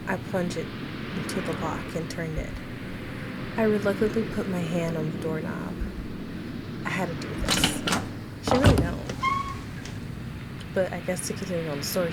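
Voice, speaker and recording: Female game streamer, lower register, halting casual narration with side remarks, very noisy recording. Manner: halting reading with stumbles, casual swearing. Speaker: video game streamer reading aloud and commenting. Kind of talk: casual read-aloud of a visual novel with side remarks.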